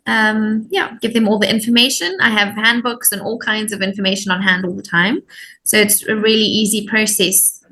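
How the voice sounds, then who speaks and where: A woman's voice: medium pitch, moderate pace, bright, forceful tone. A woman from Zimbabwe, explaining her work as a volunteer program coordinator in an interview. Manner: friendly, informative, with many ums.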